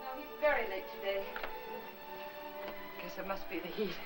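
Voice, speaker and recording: An actress as a dutiful young American housewife in a 1950s film melodrama. She is anxious and placating, always polite, at a middling womanly pitch. An orchestral score plays clearly behind her on a vintage soundtrack. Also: expressive, moderate pace, over music, close to an everyday voice. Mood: solicitous. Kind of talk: 1950s Hollywood film drama acting.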